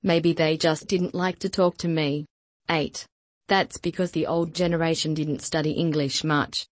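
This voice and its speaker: Slow, dry-voiced woman reading drill lines. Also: low-pitched, no echo. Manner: slow, even, repeats each sentence. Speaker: language lesson reader. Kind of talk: scripted repeat-after-me drill.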